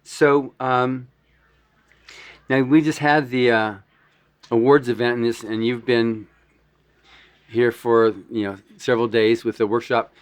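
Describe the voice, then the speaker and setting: A man's voice: medium pitch, flat delivery, measured pace, long pauses, clear, resonant tone, no echo. A man hosting a podcast, asking casual questions with easygoing fillers. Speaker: podcast host. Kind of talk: casual interview.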